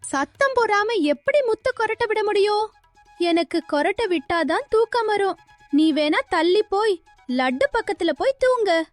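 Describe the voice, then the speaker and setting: A woman's voice: very high-pitched, expressive, moderate pace, no echo, soft background music. A woman voicing a cheeky, teasing little forest animal in a children's cartoon story. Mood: cheeky, defensive.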